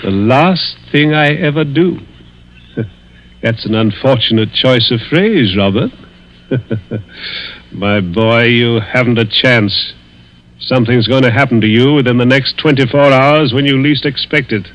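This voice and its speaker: A man's voice: mid-range voice, a little slow, a bit bright, natural voice, rough recording. An actor playing a sadistic, mock-courteous stepfather, silky and menacing, in 1940s radio drama style. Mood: gloating menace. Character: suave, gloating stepfather, a sadistic older villain.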